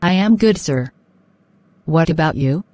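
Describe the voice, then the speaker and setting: A woman plays a polite and eager young woman in an educational cartoon. Her voice sits a little low for a woman. The lines are scripted and deliberate, recorded very dry with no room sound. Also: expressive, a little mellow, dry recording. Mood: polite, interested. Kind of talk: scripted English-learning dialogue.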